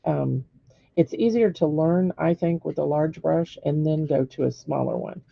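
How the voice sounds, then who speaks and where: A middle-aged woman with a hoarse voice, giving a live painting lesson step by step and checking in with viewers. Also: low voice, moderate pace, dry recording, dull audio. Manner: patient step-by-step instruction with frequent "okay?" checks. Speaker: painting teacher. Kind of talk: live-streamed painting demonstration.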